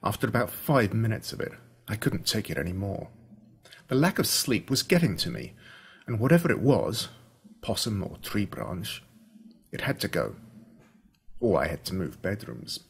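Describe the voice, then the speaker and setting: Aussie guy reading a story out loud, really expressive, deepish husky voice that moves around a lot. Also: moderate pace, pauses often. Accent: Australian. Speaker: audiobook narrator. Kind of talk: scripted first-person story narration.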